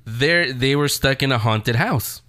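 A man's voice: mid-range voice, a little slow, sharp tone, no echo, studio quality. A man hosting a show in a casual, rambling, conversational style, talking straight to his audience. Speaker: show host. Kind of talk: casual chat reviewing TV shows.

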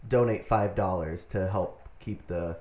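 An American man in his thirties talks casually as an interview guest. His voice sits fairly low and he rambles along conversationally. The sound is roomy and echoey. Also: a little slow, dark tone. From Southern California, United States. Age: 30s. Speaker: interview guest, band member. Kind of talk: casual chat.

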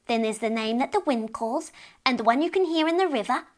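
A tiny, mischievous fairy child lists things in a lilting sing-song, as a woman puts her on. Her voice is high and sounds a little far off, light as a storybook sprite. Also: lively intonation, brisk, close to an everyday voice.

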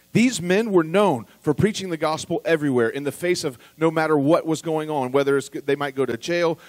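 A man's voice: fairly high pitch, no echo. Earnest male preacher, dry close sound. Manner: earnest, building to emphatic peaks then dropping quiet; repeats words when restarting, conversational asides to the congregation. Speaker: pastor. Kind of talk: sermon.

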